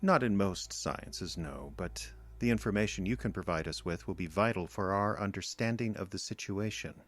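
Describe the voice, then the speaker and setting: A man acting in a radio drama as a sinister, condescending interrogator from another dimension, measured and smug. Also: deep voice. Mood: smug, persuasive.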